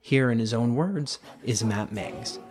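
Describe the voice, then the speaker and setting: A man's voice: mid-range voice, unhurried. A man hosting a podcast, reading scripted introductions and closing credits. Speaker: podcast host and producer. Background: music bed with guitar.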